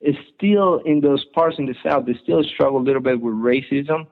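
Man on a tinny line. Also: medium pitch. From Ecuador. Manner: halting, restarts phrases often. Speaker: guest. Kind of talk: casual interview.

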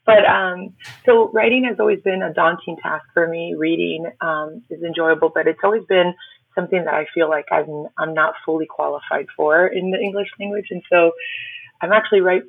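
A woman's voice: on the low side, gravelly, breathy edge, no room sound. Woman on a tinny line. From Miami, USA. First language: Spanish. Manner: reflective, laughs often, halting with fillers. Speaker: podcast guest. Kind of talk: conversational interview.

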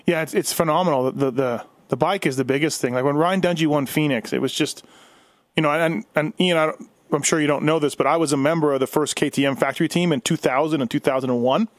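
A man's voice: a little high, fast talker, no room sound. A man hosting a casual interview, chatting enthusiastically and rambling through his questions. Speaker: podcast host, former race mechanic.

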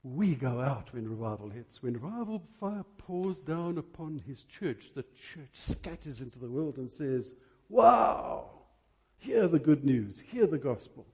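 Husky, mellow man preaching a sermon. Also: mid-range voice, sing-song. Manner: emphatic, dramatic delivery with deliberate repetition for effect. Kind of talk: church sermon.

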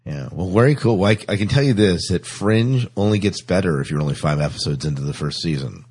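A man's voice: deep voice, a little fast, darkish tone, slightly rough. A man hosting a casual podcast chat, agreeable and conversational, on a slightly noisy, clicky recording. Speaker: podcast host.

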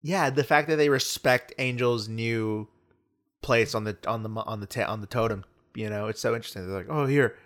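A man's voice: medium pitch, fairly quick, fairly bright tone. An American man co-hosting a film podcast, talking in a rambling, enthusiastic, casual way. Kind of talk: casual film discussion chat.

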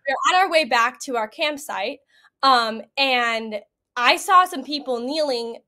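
A woman's voice: high-pitched, unhurried, bright, forceful tone. A young American woman, a podcast guest giving a casual, chatty first-hand account. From United States. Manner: chatty, conversational.

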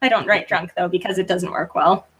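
A woman's voice: on the low side, fairly quick, noisy background. A woman chatting casually as a guest author in an interview, informal and self-deprecating in manner.

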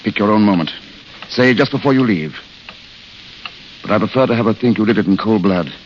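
A man's voice: fairly low pitch, fairly quick, close to an everyday voice. A man acting a fatalistic romantic idealist in an old radio drama, courteous and literary in his speech. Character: world-weary romantic idealist, eloquent and fatalistic. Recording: hissy, dull old radio recording.

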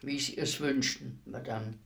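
A man acts out a calm executioner in a radio play, grave and philosophical. He speaks very slowly and deliberately, with a creaky, gravelled edge. His manner is courteous and deferential. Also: mid-range voice. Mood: quietly deferential. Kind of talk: literary radio play acting.

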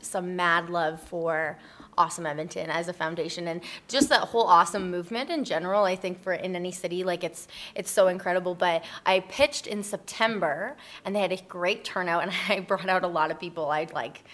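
A woman's voice: fairly low pitch, brisk, a bit bright. Woman telling stuff about her project, informal, says like a lot, pokes fun at herself. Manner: chatty, informal, self-deprecating, peppered with like. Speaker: interview guest, community project founder. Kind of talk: casual chat.

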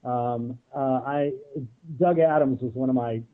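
A man speaks casually as an interview guest, with a mid-pitched voice. He is halting and reflective, and the sound is narrow like a telephone connection. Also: steady tone. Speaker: guest, audio drama producer. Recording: narrow-band phone-like line.

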